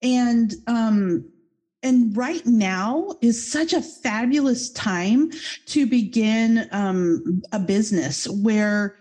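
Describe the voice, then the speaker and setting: A woman's voice: a little high, extremely slow, mellow. Effusive, goofy woman podcast host. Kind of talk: casual podcast interview.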